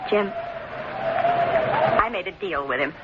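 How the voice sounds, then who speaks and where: An actress playing a desperate, devoted wife with an earnest, halting delivery in an old-time radio drama. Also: female voice, medium pitch, wildly swooping pitch, a loud crowd, exaggerated voice. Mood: desperate resolve. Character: desperate, devoted wife trapped by a dangerous man. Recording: noisy, dull old-time radio recording.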